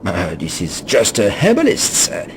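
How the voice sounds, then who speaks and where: A man's voice: sits low, wildly swooping pitch, unhurried, noisy background, exaggerated voice. A man playing a timid, evasive herbalist in a video game, speaking hesitantly and with nervous politeness. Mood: nervous, polite. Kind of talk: video game cutscene voice acting.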